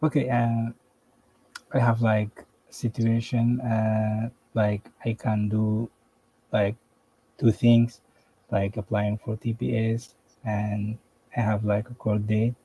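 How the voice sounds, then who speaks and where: A man's voice: fairly low pitch, flat delivery, slow, mellow, highs cut off, slight background noise. A man from Sudan calling into a live stream, speaking hesitantly with many fillers. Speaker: caller.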